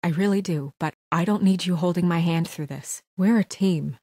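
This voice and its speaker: A guarded, determined young fairy-tale woman in a naturalistic video game drama. Her voice sits fairly low, with an earnest, businesslike firmness. The sound is close and dry, with no room on it. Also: dark tone, no room sound. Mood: earnest, firm. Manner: earnest, measured, firm, businesslike.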